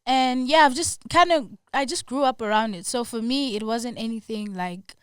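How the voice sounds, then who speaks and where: Smooth-voiced young woman. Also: fairly high pitch, normal speed, no room sound, studio quality. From South Africa. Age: young adult. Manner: relaxed, trailing, filler-heavy. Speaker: guest musician. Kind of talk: casual podcast interview.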